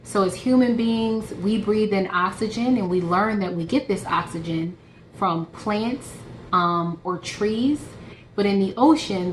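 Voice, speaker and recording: A woman giving a simple, explanatory educational talk for children, as a guest scientist. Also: medium pitch. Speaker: guest marine microbiologist. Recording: roomy echo with background noise.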